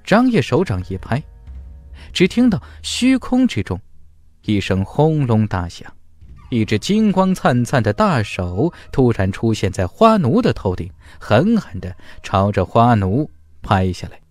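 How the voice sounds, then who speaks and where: Animated male fantasy audiobook narrator. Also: medium pitch, unhurried, dry recording, faint music behind. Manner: emphatic storytelling. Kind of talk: dramatic scripted fantasy narration.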